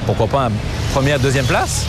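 A man's voice: medium pitch, expressive, normal speed. Belgian man with a Québécois accent. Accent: Québécois-tinged Belgian French. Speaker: volunteer flag bearer. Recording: music under the voice.

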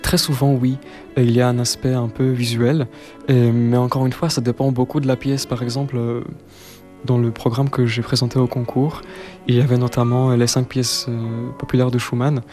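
A young man from Poland talking about his music in a language that is not his first. He speaks softly and haltingly, restarting phrases often, in a very even tone. Faint music sits behind him. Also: medium pitch. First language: Polish. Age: 20s. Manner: soft-spoken, hesitant, many fillers and restarts. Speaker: cellist interviewee. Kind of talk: interview answers.

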